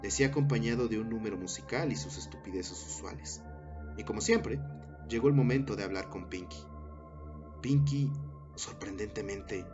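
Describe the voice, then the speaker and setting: A man narrating a scripted horror story in a measured, dramatic storytelling manner. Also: lowish voice. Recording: dull sound under loud music bed. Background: loud background music.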